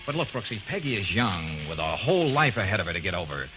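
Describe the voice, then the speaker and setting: Low, quick-talking radio detective. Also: male voice, sing-song, music in the background, poor audio. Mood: determined. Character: determined, plain-spoken male private investigator, protective and persuasive. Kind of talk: old-time radio drama acting.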